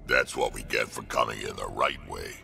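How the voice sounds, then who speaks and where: A man voicing a gruff, wry old alien warrior with a deep gravelly voice in a video game. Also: fairly even intonation, moderate pace, bright, forceful tone, faint music behind, exaggerated voice. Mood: wry, grumbling. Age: older. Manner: dry, grumbling. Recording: game sound effects behind the voice.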